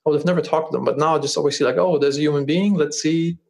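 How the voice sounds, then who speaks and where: A man's voice: on the high side, fairly even intonation, very fast, gravelly, a little mellow. A man with a German accent, a guest on a podcast, talking earnestly in a casual interview and often repeating words as he restarts. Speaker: podcast guest, business founder.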